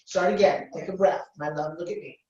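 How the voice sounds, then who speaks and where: A woman's voice: lowish voice, steady tone, brisk, mellow. A woman coaching a workshop live, giving short, blunt, commanding instructions and cutting in to correct. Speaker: business coach leading a workshop. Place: indoor reverberant room. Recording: echoey room, distant dull microphone.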